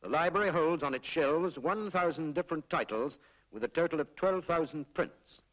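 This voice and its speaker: A British man narrating a 1940s public information film in a formal, measured, explanatory way, on a period film soundtrack. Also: a little high, a bit bright, lo-fi sound. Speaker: documentary narrator.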